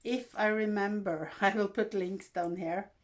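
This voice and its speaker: A Norwegian woman in her sixties hosts her own vlog, speaking English as a second language with a clear Norwegian accent. She talks slowly, at a mid pitch, stopping often with fillers and restarting her sentences. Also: steady tone. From Norway. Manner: unhurried, halting, with frequent fillers and restarts. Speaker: vlog host. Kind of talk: casual vlog talk.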